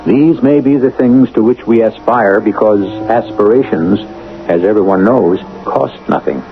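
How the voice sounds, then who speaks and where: Male narrator, low pitch, deliberate rhetorical delivery, old-time radio host, tinny band-limited sound. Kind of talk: scripted radio drama narration. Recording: tinny old radio broadcast, music behind.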